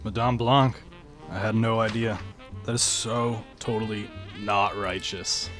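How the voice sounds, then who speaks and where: A man's voice: on the low side, steady tone, measured pace, fairly bright tone. Man playing a drawling laid-back surfer dude. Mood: sympathetic. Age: young adult. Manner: drawling slacker slang. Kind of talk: comic radio serial acting. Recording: faint music behind the voice.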